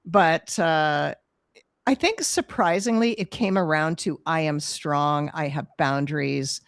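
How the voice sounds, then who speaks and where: A woman's voice: low-pitched, takes it slowly, no room sound, studio quality. A woman hosting a live session, talking frankly and reflectively, searching for words and laughing easily. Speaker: workshop host.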